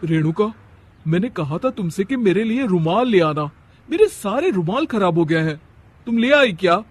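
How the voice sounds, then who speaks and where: A man's voice: high-pitched, sing-song, normal speed, husky, exaggerated voice. A man voicing an irritated young husband, complaining and exasperated, in a cartoon family story. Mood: annoyed. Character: irritated young husband fed up with lazy wife.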